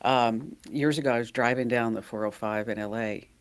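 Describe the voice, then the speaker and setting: A woman with a deep voice hosting a podcast interview, chatting casually, laughing often and talking with plenty of fillers. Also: fairly even intonation, normal speed, smooth. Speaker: podcast host.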